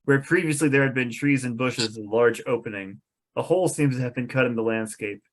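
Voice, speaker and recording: A man's voice: mid-range voice, fairly quick, poor audio. A man reading out the stage directions of a fantasy script as narrator at a table read.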